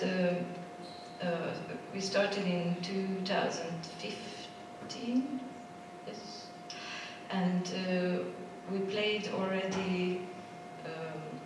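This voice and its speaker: A woman speaks at a symposium in a slow, halting way, often searching for words. Her voice sits a little low. She is heard from a distance in a large, very echoey theater hall with clear background noise. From Romania. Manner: halting, searching for words in a non-native language. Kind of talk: unscripted conference presentation.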